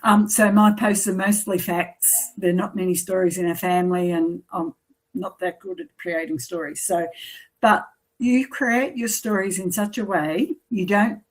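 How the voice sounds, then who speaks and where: A woman hosting a casual interview, affirming her guest often with quick yeahs. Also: mid-range voice, fairly even intonation, low-quality recording. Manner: warm affirming, frequent yeahs. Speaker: podcast host.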